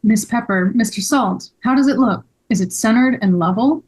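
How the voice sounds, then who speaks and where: A woman's voice: on the high side, moderate pace, darkish tone, breathy edge. A woman playing an earnest, polite, grateful young male shop owner in a table read. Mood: anxious.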